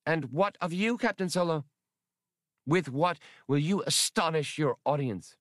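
A man's voice: a little high, lively intonation, measured pace, a bit bright, no echo, studio quality, voice pushed up. A man voicing a proud, fierce female feline alien mother, solemn and formal. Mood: defiant.

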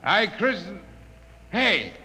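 A man's voice: sits high, very animated, slow pace, bright, forceful tone, heavily acted. A man playing a brash, boisterous crewman who mocks and gloats in an old radio drama. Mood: boisterous, gloating. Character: brash, boisterous, mocking spaceship crewman out to celebrate. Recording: reverberant vintage radio recording, faint noise.

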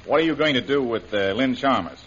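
A man's voice: medium pitch, brisk, a bit bright, a little hiss or hum, lightly acted. A man playing a brave, defiant captive adventurer in a 1930s radio serial, speaking in a firm, dry, sardonic way. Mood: guarded, sardonic. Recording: muffled, lo-fi old radio recording.

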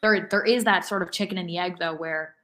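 A woman co-hosting a sports podcast, giving measured, analytical opinions in casual conversation. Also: on the low side, fairly bright tone. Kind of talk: casual soccer fan discussion.

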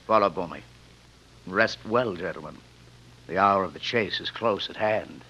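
An actor playing a sinister, courteous rich villain in old radio drama, speaking quietly and formally without hurry. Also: male voice, low voice, normal speed, slight background noise. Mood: polite command. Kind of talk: 1940s-50s radio drama acting. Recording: dull old radio recording.

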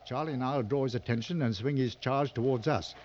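An actor playing a seasoned, coolly sardonic hunting guide in a mid-century radio drama, giving clipped, authoritative instructions. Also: male voice, mid-range voice, brisk, a little mellow, lightly acted. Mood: calm, instructing. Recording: dull old radio recording, noisy.

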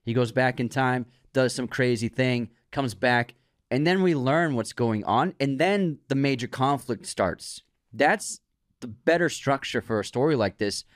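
A man's voice: medium pitch, a little slow, dry recording, studio quality. A man co-hosting a podcast about movies in a casual chat, explaining game stories with enthusiasm and agreeing often. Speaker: podcast co-host.

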